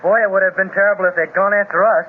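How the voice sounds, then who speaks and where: An eager teenage adventurer, voiced by a man, talks in a high, boyish voice full of excited exclamations. It is a 1930s radio serial. The sound is thin and phone-like, with a faint hiss. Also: racing, lightly acted. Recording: tinny, hissy old radio transcription.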